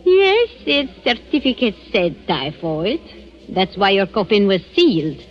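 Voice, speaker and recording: A woman's voice: mid-range voice, very animated. An actress playing a nosy, insinuating village shopwoman in a 1940s radio drama, with a pointed busybody manner. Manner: drawn-out, pointed, busybody delivery. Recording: muffled 1940s radio, music behind.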